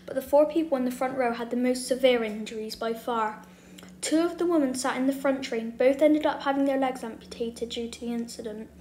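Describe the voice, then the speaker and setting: High-pitched woman narrating, roomy sound. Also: darkish tone. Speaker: video narrator. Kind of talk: scripted documentary narration. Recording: roomy echo, faint background noise.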